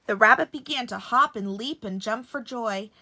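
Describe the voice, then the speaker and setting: Bright-voiced woman reading a children's story. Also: on the high side, moderate pace. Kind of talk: expressive bedtime story narration.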